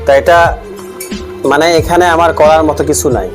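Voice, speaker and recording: A man playing a grave, hesitant uncle who is a doctor, speaking haltingly and repeating himself in a short film drama. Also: on the high side, close to an everyday voice. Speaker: actor in amateur short film. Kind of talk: acted drama dialogue. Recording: faint music behind.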